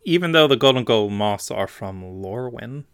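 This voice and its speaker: A man's voice: mid-range voice, animated, moderate pace, clear, resonant tone, no echo, clean studio sound. A man co-hosting a podcast in casual banter, chiming in wryly with corrections. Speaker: podcast co-host.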